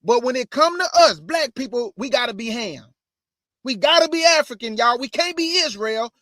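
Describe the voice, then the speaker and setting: A man's voice: very high voice, lively intonation, moderate pace, pushed and bright, no room sound, studio quality. A man hosting his show, giving casual religious commentary in a preachy way and calling his listeners family. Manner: preachy, addresses audience as family. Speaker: host.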